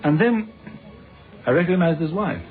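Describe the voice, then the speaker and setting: British police inspector, tinny radio sound. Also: male voice, fairly high pitch, lively intonation. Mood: reflective. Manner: measured, courteous, formal questioning. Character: British police inspector, polite, probing, quietly dry storyteller. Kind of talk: radio drama acting. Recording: thin phone-like radio sound, faint music.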